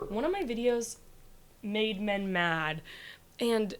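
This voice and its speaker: A woman with a naturally raspy voice chatting casually on a podcast, talking in a rush, full of likes and restarts, often laughing. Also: mid-range voice, slow pace. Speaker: comedian guest.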